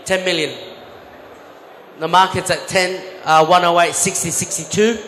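A man's voice: high-pitched, level tone, normal speed, fairly bright tone, a little hiss or hum. Chatty man on an echoey stage. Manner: chatty, self-correcting, explains with examples. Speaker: conference speaker, former bank trader. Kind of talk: live seminar talk with interpreter. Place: large expo hall stage. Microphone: handheld microphone. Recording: echoey hall with faint ambience.

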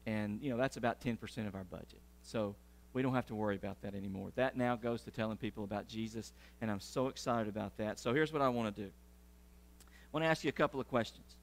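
A man's voice: lowish voice, fast. A middle-aged man, a pastor giving church announcements in sermon style, talking animatedly as he moves around a large church sanctuary. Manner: animated, gesturing while pacing the stage.

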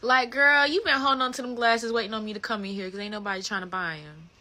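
A woman in her twenties with an American accent, chatting casually and playfully on a livestream in animated slang. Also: a little high, fast, slight background noise. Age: 20s. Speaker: livestream host. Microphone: phone at close range.

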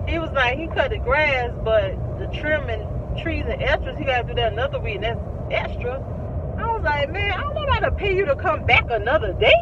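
A woman's voice: sits high, animated, a little fast, recorded from a distance. A woman, chatting and joking to viewers from a parked car. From South Carolina, USA. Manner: chatty, joking, exclaims loudly and drops to mutters. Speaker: vlogger. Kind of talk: casual car vlog talking to viewers. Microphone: phone camera on dashboard. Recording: muffled phone audio with loud road noise.

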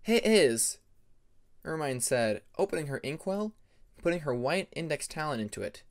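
A man's voice: fairly high pitch, lively intonation, a little fast. A man reading a story aloud as narrator of an amateur audiobook.

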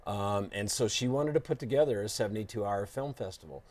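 Older man, lower voice, relaxed and hesitant, TV studio guest. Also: brisk. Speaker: arts nonprofit executive director. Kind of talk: studio TV interview. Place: TV studio set, indoors.

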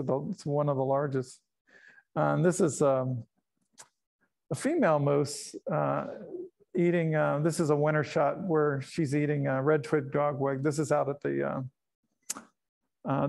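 A man's voice: a little high, flat delivery, normal speed, gravelly, darkish tone. A middle-aged man who grew up in Panama, giving a casual slide talk about his nature photos, rambling with fillers, restarts and chuckles.

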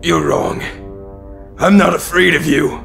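Guy playing stubborn captive warrior, creaky voice, slow bitter defiant lines, audio drama w music behind. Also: a little high, steady tone, a bit bright, exaggerated voice. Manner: defiant, terse. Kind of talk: fan audio drama acting.

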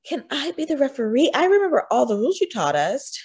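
Lady doing nervous little girl in a kids book, higher voice, hesitant, begging. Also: big pitch swings, a little slow, warm tone, no room sound, full character voice. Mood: nervous, pleading. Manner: hesitant, pleading. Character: timid, reluctant little schoolgirl making excuses.

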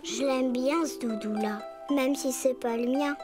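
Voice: sits high, fairly even intonation, a little slow, music in the background. A performer voicing a sweet, earnest little brown bear cub boy in a preschool cartoon, with childlike speech. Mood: contented.

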